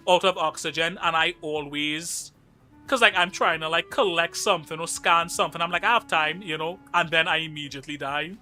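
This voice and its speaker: A young man from Trinidad and Tobago with a Trinidadian accent chats loosely while playing a game on stream. His voice sits a little high and rings very bright. He jokes and reads viewer messages aloud over faint game music. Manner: chatty, joking, reads chat aloud. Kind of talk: casual live-stream gaming chat.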